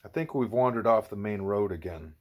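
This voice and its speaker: A man reads as an earnest young girl pupil in an audiobook. The voice stays fairly low and thoughtful as the pupil reasons and questions. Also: a little fast, lightly acted. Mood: earnest, reflective.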